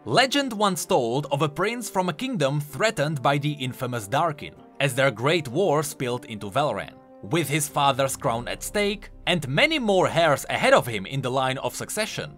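A man's voice: on the high side, fairly bright tone. A man giving enthusiastic, emphatic explanatory commentary on video game lore. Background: faint music.

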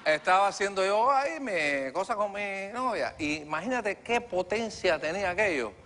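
A man's voice: high voice, expressive, normal speed, pushed and bright, slight background noise. A boastful guy who mangles his words. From Cuba. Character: naive boastful Cuban man, malapropism-prone musician. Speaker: comedy character guest. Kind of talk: sketch comedy interview. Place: TV studio set with live audience. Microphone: lapel mic.

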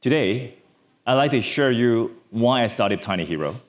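A man from Taiwan, giving a conference talk from a large stage on a lapel mic, halting with many fillers and restarts. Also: mid-range voice, lively intonation. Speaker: startup founder speaker.

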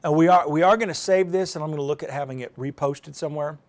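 A man's voice: on the high side, quick pace. A middle-aged man hosting a live weather explainer as a TV meteorologist, talking casually and thinking aloud with restarts. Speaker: TV meteorologist, host. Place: indoor TV news studio. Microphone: lapel mic.

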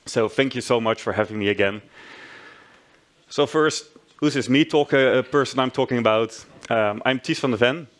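A man's voice: mid-range voice, normal speed. A man in his thirties with a Dutch accent, giving a friendly, self-deprecating tech talk on a conference stage, with frequent restarts. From Netherlands. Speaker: conference speaker. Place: large conference hall stage.

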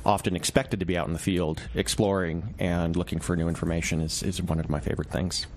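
A man being interviewed on radio as a reporter, speaking casually and reflectively in a measured, halting way. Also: deep voice, fairly even intonation. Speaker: newspaper reporter, interview guest.

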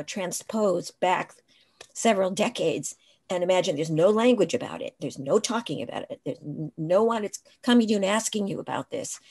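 An older woman speaks over a video call from her home, her low voice carrying a husky edge. She tells stories reflectively and loops back to restart her sentences. Her pace stays conversational as she answers questions. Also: darkish tone. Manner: reflective, storytelling, frequent restarts. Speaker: interview guest, writing professor. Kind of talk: casual conversational interview. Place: home room, indoors.

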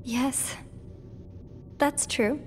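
A shy, soft-spoken teenage girl. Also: sits high, fairly even intonation, very slow. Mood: gentle. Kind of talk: video game voice acting. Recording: game audio with loud music underneath.

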